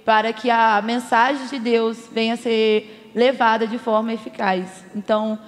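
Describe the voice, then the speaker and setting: Young woman from rio state, earnest student talk, kinda high voice, reverb-y hall. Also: little pitch movement, measured pace. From Rio de Janeiro state, Brazil. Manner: earnest, repetitive explaining. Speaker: missionary student. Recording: echoey hall sound.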